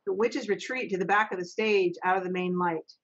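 Husky middle-aged woman reading stage directions. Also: medium pitch, fairly even intonation, a little fast. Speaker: stage manager, narrator. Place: home office, indoors. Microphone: video call. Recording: dull lo-fi video-call audio.

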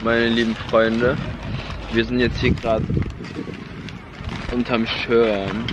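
Junger Mann vloggt locker, laute Umgebung. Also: male voice, medium pitch, monotone, slow, highs cut off. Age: young adult. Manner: loose slangy chatter, narrating on the move. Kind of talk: casual travel vlog chat. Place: outdoors and in a car on a road trip. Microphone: handheld camera at arm's length. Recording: loud wind and traffic noise outdoors.

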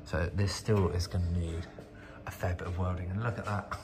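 A man's voice: low voice, steady tone, normal speed, noisy background. A British man giving a casual, off-the-cuff walk-around commentary as a vlogger, recorded in a workshop. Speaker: vlogger, host of a channel about rotten old cars. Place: inside a car workshop.

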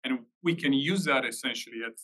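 Man, bright forceful voice, informal technical presenter, explanatory, rephrasing, live meetup talk. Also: medium pitch, brisk, rough recording.

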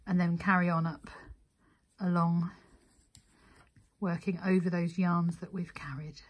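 A woman's voice: medium pitch, a little mellow, slightly rough. A woman presenting a craft tutorial, giving methodical step-by-step instruction and counting as she goes.

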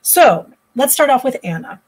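A woman's voice: high-pitched, big pitch swings, normal speed, poor audio. A woman editor from the Boston area moderating a live online panel from home, introducing the guests with lots of "um" and chatty asides over a laptop mic. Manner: warm, chatty introductions with frequent "um". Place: home room. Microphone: laptop webcam mic on a video call.

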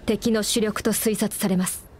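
An actress playing a polite young woman ship officer who reports in a calm, precise, formal way in a video game cutscene. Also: medium pitch, normal speed, close to an everyday voice. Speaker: game character.

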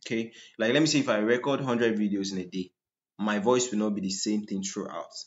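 A man teaching a slide-based medical lecture in a deep, just-woken voice. He keeps asking rhetorical "what?" questions and answers them himself. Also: fairly even intonation, a little fast. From Nigeria. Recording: dull lo-fi screen recording.

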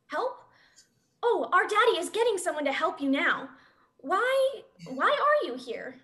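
Kindly little girl woman actor: high, lively, a touch hesitant, eager to help, dramatic play reading. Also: a little slow, exaggerated voice. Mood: curious, eager. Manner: hesitant, childlike.

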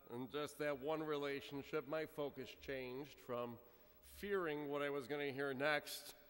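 A middle-aged male priest gives a relaxed, story-driven sermon into a pulpit microphone. His mid-range voice rings out into a large church with a long, lingering reverb. He talks conversationally, with plenty of small pauses and fillers. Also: medium pitch. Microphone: gooseneck pulpit microphone. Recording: church reverb from a fixed camera.

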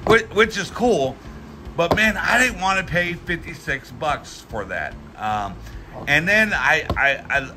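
A middle-aged American man giving a casual, folksy hands-on product review, talking in a halting, rambling way with repeated words. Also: medium pitch. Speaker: tool review channel host. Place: indoors at a workbench.

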